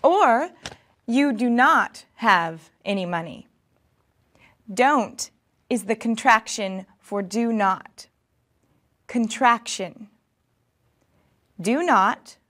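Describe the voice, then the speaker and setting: A woman in her thirties giving a scripted English lesson, deliberate and enunciated. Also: on the high side, lively intonation, a bit bright. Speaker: English teacher. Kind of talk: slow scripted language lesson. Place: small classroom set, indoors.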